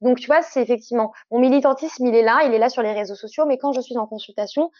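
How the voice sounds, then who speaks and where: Higher-voiced woman, muffled dry sound. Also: fast talker, darkish tone. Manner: earnest, explanatory, frequent fillers. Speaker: sexologist guest. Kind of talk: casual interview conversation.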